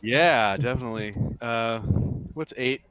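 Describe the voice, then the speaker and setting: A man's voice: medium pitch, measured pace, a bit bright, clear, resonant tone. A man narrating a tabletop roleplaying game as game master in a casual, jokey, improvising manner.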